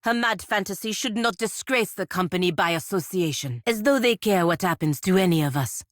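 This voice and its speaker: Actress as dutiful, disapproving female knight-diplomat, fantasy RPG. Deep register, formal measured delivery, dry studio sound. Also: lively intonation, dry recording, natural voice. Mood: scornful. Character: proud, stern, dutiful female paladin envoy of a merchant republic. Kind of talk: scripted fantasy video game dialogue.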